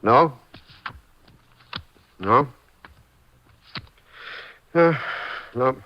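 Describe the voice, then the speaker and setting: A man's voice: mid-range voice, animated, drawn-out words. A man playing a tired, folksy, hesitant eyewitness in a 1950s radio drama. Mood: weary. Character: weary, worn-out male eyewitness. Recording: muffled 1950s radio recording.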